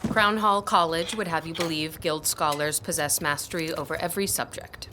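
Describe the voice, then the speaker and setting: A woman's voice: low voice, steady tone, normal speed, bright, forceful tone, a little hiss or hum. A woman playing an earnest, capable scholar in an audio drama, speaking politely and directly. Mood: concerned, gentle. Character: earnest, capable young woman scholar, defensive yet respectful.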